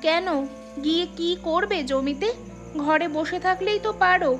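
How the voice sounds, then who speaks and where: A woman's voice: very high-pitched, normal speed, fairly bright tone. A woman voicing a suspicious, sarcastic farmer's wife in a moral-story cartoon. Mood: sarcastic, needling. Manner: sarcastic, insistent. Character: suspicious, sharp-tongued village farmer's wife. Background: music.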